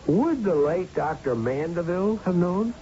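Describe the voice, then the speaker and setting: Young crusading reporter: insistent, higher male voice with lively swings, radio play on a noisy old recording. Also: normal speed, dull audio. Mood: pressing, determined. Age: young adult. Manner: insistent, pressing, self-assured. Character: dogged, accusing young male newspaper reporter. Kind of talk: 1970s radio drama acting.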